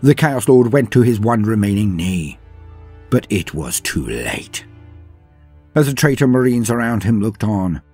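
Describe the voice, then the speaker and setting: A man narrating a scripted story in a dramatic, grave, measured manner with pauses for effect, his voice husky. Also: on the low side, darkish tone. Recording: music behind the voice. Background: background music.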